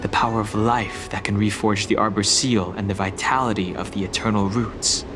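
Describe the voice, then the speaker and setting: A man voicing a reserved, solemn young wanderer in a fantasy game, speaking in a measured, restrained way. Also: on the low side, fairly even intonation, over music, close to an everyday voice. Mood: calm, reassuring.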